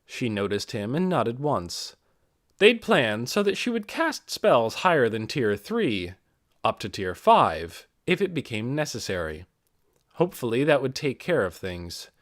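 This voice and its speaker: A man's voice: mid-range voice, big pitch swings, unhurried, no room sound, clean studio sound. A man reading scripted fantasy narration as an audiobook narrator.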